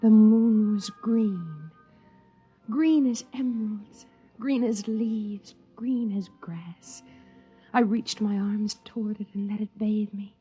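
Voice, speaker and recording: An actress playing a dreamy, despairing wife in a 1950s radio drama, wistful and theatrical, with a hushed, breathy voice. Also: female voice, mid-range voice, slow pace, muffled recording, soft background music. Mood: enraptured. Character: dreamy, despairing wife shut in a post-war shelter.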